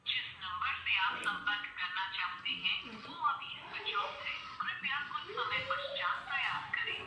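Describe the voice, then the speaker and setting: A woman's voice: mid-range voice, sing-song, unhurried, bright, forceful tone. A woman reading a scripted automated phone network announcement, played through a phone speaker. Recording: distant echoey phone playback with music.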